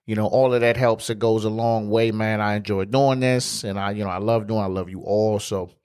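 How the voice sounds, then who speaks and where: A man, hosting a casual solo sports podcast in his home studio, stuffy and congested, talking in a relaxed, slangy way. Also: fairly low pitch, brisk, smooth. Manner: relaxed, conversational, loose slang. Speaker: podcast host.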